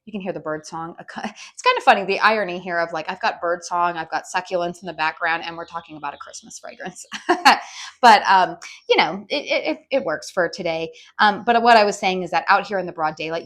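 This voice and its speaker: A woman with a raspy voice from a head cold, casually reviewing a candle outdoors in a chatty way with lots of ums. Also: fairly low pitch, fairly bright tone. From US West Coast. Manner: chatty, frequent ums and restarts. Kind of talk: casual product review vlog. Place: outdoors with birdsong.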